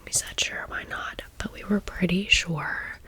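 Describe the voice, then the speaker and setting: A woman whispers in a chatty ASMR storytelling style. Her delivery is slow and unhurried, with a low-set voice beneath the whisper. She sounds conversational and gushing, as if confiding in friends. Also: pushed and bright, slightly rough. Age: 30s. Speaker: ASMR vlogger.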